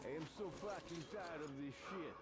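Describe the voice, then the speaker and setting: An actor playing a weary, grumbling guard in a video game. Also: male voice, a little high, normal speed, natural voice. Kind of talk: video game background dialogue. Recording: heavy background noise.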